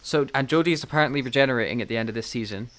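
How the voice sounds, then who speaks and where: Man, bright forceful voice, middle pitch, excitable, self-deprecating, casual podcast chat. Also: racing. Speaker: podcast co-host.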